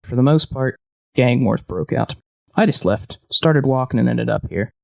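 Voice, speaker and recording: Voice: mid-range voice, normal speed, creaky. An actor playing an upbeat, scrappy ex-convict in a post-apocalyptic audio drama, breezy and playfully grandiose. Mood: wistful, matter-of-fact.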